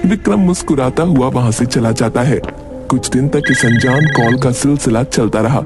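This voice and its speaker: A man's voice: mid-range voice, moderate pace, over music. A man reading scripted story narration for a dramatic tale.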